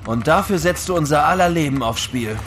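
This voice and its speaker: A man's voice: a little high, lively intonation, brisk, noisy background, lightly acted. An actor playing a sceptical, sarcastic spaceship crewman in a science fiction audio drama, cautious and needling.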